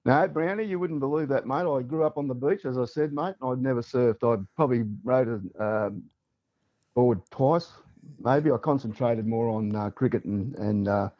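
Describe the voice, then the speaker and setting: A man's voice: mid-range voice, normal speed, no echo, highs cut off. A man with an Australian accent, a former rugby league player, chatting casually as a podcast guest and saying "mate" a lot. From Newcastle, Australia. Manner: relaxed, chatty, says mate often. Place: on the line for a podcast.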